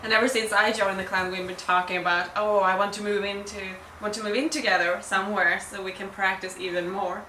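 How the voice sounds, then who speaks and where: Swedish woman gamer, English with accent, bright tone, distant echoey recording. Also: mid-range voice, fairly even intonation, fairly quick, hardly any pauses, a little hiss or hum. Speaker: pro gamer. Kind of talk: casual interview. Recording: echoey room, mic at a distance.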